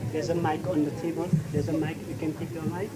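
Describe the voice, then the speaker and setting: A man acting as master of ceremonies at an event in a church hall, announcing and then making quick practical asides, heard from away from the mic. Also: on the high side, little pitch movement, heavy background noise. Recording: loud crowd, from off the mic.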